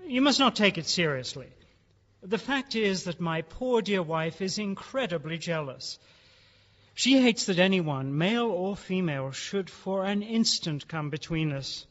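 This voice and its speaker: An actor playing a genial, sly gentleman who keeps exotic beasts, effusive and genteel in a theatrical radio drama style. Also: high voice, expressive, a little slow, exaggerated voice. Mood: smoothly apologetic. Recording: dull, noisy old radio-drama recording.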